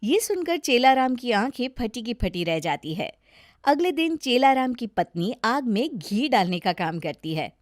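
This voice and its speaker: A woman's voice: on the high side, very animated, unhurried, dry recording, studio quality. A woman narrating a scripted moral story as a storyteller.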